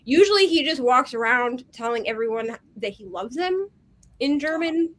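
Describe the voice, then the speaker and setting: A woman co-hosting a casual chat over a video call, an animated storyteller who fills her talk with "like.". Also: high voice, normal speed. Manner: animated storyteller, heavy on "like" fillers. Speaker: co-host.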